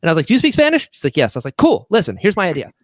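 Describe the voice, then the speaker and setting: A man's voice: high voice, huge pitch swings, very fast, no room sound, studio quality. A man, a guest on a podcast, chatting casually and enthusiastically about producing, often acting out bits of past conversations. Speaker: audio drama writer and producer, podcast guest. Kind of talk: casual podcast chat, animated storytelling.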